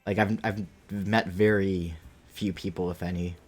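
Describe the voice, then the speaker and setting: Low, husky young man streaming casually. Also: little pitch movement, unhurried. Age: late 20s. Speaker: game streamer. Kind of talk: casual live gaming commentary and chat.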